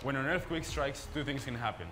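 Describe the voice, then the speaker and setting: A man giving a clear, explanatory scripted narration for a project video. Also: medium pitch, a little fast, over music. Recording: noisy video soundtrack.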